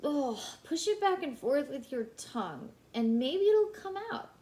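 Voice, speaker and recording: An eager little girl bear cub in a storybook, acted out by a woman reading aloud. The voice is high and bouncy, wide-eyed and excitable, with big swoops in pitch. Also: unhurried.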